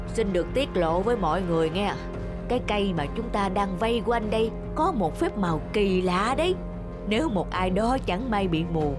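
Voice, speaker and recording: A woman voicing a talking forest animal in an animated fairy tale, explaining things in a measured storytelling way. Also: medium pitch, lightly acted. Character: talking forest animals sharing secrets at a meeting. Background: music.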